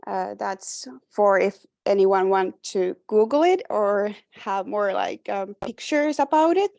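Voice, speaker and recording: Slow, hesitant Finnish-accented young woman. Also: medium pitch, lively intonation, vocal fry, a little mellow, no room sound, studio quality. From Finland. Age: 20s. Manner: hesitant, many fillers and restarts, light laughter. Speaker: presenter. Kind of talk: informal slide presentation.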